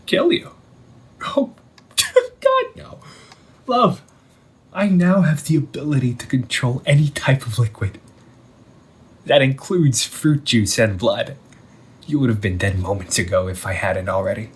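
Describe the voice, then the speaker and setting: Man acting a slow, vengeful undead older brother. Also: mid-range voice, big pitch swings, slightly rough, full character voice. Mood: menacing, amused. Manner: theatrical, taunting, with drawn-out pauses and whispered words. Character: vengeful undead older brother, menacing, wounded, mockingly affectionate. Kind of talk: scripted audio roleplay monologue addressed to the listener.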